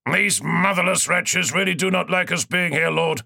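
A man's voice: high voice, normal speed, creaky, bright, forceful tone, dry recording, clean studio sound, pitched above the performer's own voice, full character voice. A man voicing a blunt, loyal male starship captain who reports grimly to his lord. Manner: grim, plain-spoken.

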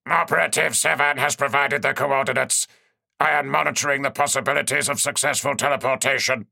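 A man's voice: mid-range voice, a little fast, gravelly, dry recording, studio quality, pitched above the performer's own voice, heavily acted. A man doing a cold mechanical tech-priest. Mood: clinical. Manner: flat, precise, literal.

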